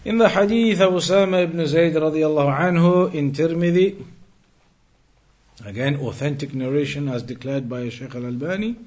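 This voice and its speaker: A man teaching an Islamic lesson, reading out text and explaining it deliberately, with emphatic repetition and rephrasing. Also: on the high side, a little mellow. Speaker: religious teacher.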